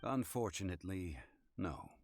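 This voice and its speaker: A man voicing a composed, refined scholarly gentleman with formal, measured speech in an anime video game. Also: low voice, expressive, over music.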